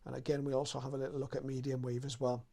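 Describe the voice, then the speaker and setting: A man's voice: mid-range voice, monotone, racing, vocal fry, dark tone. A man hosting a radio how-to video, talking in a casual, unscripted and explanatory way.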